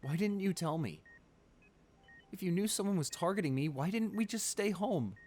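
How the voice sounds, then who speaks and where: A man playing a wealthy, devoted client pleading at his comatose lover's bedside in an intimate ASMR roleplay, emotional and halting. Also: sits high, animated, a little mellow. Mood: anguished. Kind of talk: ASMR boyfriend roleplay, dramatic monologue.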